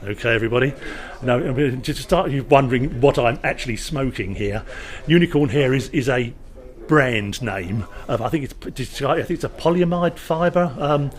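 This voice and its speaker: Jocular man pitching a product. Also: moderate pace. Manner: jocular, emphatic salesman's patter with wry asides. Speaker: loudspeaker company representative. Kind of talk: informal product explanation at a trade show. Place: hi-fi trade show.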